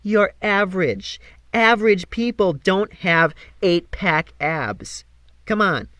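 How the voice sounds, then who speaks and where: A man's voice: high-pitched, animated, slow, bright, forceful tone, no echo, studio quality, pitched above the performer's own voice, full character voice. A man voicing a blunt, unfazed, bratty young girl with a flippant, dismissive manner, as an audiobook character.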